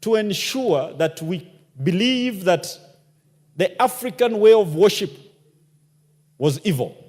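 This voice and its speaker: Guy giving a fired-up speech in parliament, high voice that swings all over, echoey chamber. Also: lively intonation, takes it slowly, a little mellow. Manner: impassioned, rhetorical questions. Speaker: member of parliament. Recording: long hall reverb.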